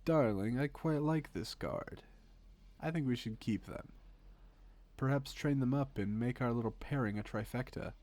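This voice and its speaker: A man playing a suave, teasing secret agent in an audio roleplay, speaking with wry politeness and calm menace in a creaky voice. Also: mid-range voice, close to an everyday voice. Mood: fond, playful. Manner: polite, wry, unhurried conversational patter with pointed pauses. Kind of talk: scripted audio roleplay, intimate one-sided dialogue.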